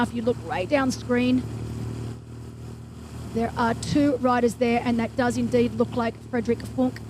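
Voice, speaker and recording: A woman with a bright, high voice calls a triathlon live, brisk and enthusiastic, with chatty asides. Engine and wind noise from the race can be heard clearly behind her. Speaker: race commentator. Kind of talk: live sports commentary.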